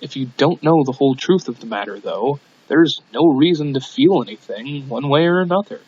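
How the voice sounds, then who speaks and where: A man's voice: medium pitch, expressive, normal speed, a little mellow, breathy edge. A man reading a scripted audiobook in an amateur narration style, on a dull lo-fi recording. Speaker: audiobook reader.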